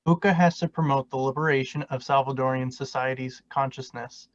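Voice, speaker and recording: A man reading a martyr's first-person words aloud in a steady, earnest way. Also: medium pitch, brisk, no room sound, muffled recording.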